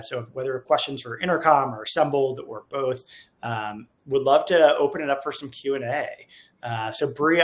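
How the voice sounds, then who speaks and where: A man co-hosting a company webinar in a casual, conversational way, thinking aloud as he goes in an informal, rambling manner. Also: medium pitch. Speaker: co-host of a company webinar, software company staff. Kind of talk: casual panel talk.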